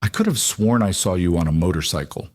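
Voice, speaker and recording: A man voicing a calm, secretive man who talks in a low-key, dry way and asks probing questions. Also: a little fast, no echo.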